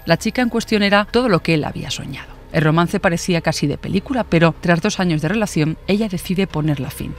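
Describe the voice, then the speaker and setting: A woman's voice: on the low side, fast, few pauses. Woman narrating scripted true crime. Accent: Castilian Spanish. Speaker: podcast host and narrator.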